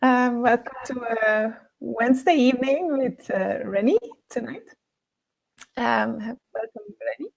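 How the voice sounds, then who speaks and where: A woman's voice: fairly high pitch, unhurried, gravelly, rough recording. A woman hosting a live online interview, hesitant with many fillers, German as her first language. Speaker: interviewer, live show host.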